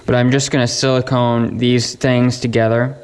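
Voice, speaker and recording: A man's voice: mid-range voice, little pitch movement, normal speed, clean tone. A young man presenting a hands-on electronics build in a casual, matter-of-fact, informal way.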